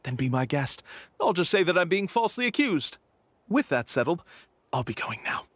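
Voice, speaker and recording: Male game swindler: smug theatrical tour guide, highish pitch, lively intonation, faint music behind. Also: moderate pace, no echo. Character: smooth-talking, brazen male con-artist tour guide. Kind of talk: video game voice acting.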